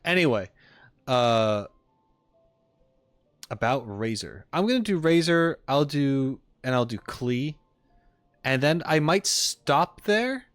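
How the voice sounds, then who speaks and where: A man's voice: medium pitch, very animated, slow pace, pauses often, no room sound, soft background music. An American man chatting casually on a reaction stream, rambling and joking as he goes. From United States.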